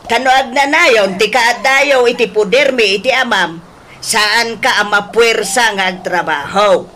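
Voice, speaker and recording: A woman playing a pleading middle-aged mother and aunt in an Ilocano radio drama, speaking slowly and emotively with an Ilocano Filipino accent. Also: a little high, pushed and bright, slight background noise. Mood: worried, earnest. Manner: slow, drawn-out, emotive delivery.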